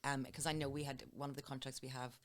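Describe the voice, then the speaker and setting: A middle-aged woman, a hotel sales manager, calmly explaining her work as an interview guest, often listing examples. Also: very deep voice, fast, a little hiss or hum. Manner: plain, steady explaining with listed examples.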